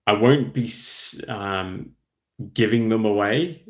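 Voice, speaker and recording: A man's voice: fairly low pitch, slow. A man, host of a hobby channel, chatting casually on a livestream, rambling and reading out viewer comments. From Australia.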